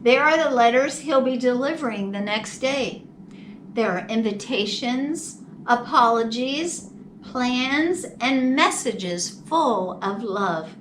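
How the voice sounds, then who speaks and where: High bright woman reading a storybook slowly. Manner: deliberate, animated, drawn-out phrasing. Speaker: children's storytime host reading a picture book. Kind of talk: slow expressive storybook narration for young children. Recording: distant mic, faint background noise.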